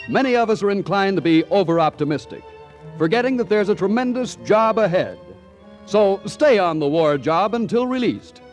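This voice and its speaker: A man with an American accent delivering polished, emphatic 1940s radio announcements and sponsor messages. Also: high voice.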